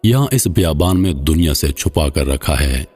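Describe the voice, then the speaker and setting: A man voicing a scheming vizier disguised as a kindly old man, speaking firmly and deliberately in a narrated tale. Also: very deep voice, animated, darkish tone, husky, full character voice. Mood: resolute. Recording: long hall-like reverb.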